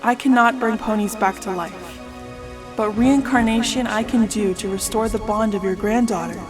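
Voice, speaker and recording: A woman's voice: medium pitch, moderate pace, natural voice. A woman voicing a serene, motherly goddess in a fantasy audio drama, speaking formally and solemnly. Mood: solemn, grand. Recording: echoey reverb with music behind.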